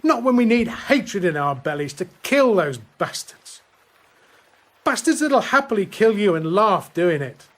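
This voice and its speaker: Slow, high-voiced, grim soldier. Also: male voice, sing-song, fairly bright tone, exaggerated voice. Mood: grim, intense. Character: grim, weary senior WW1 soldier counselling a lad. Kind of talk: radio drama acting.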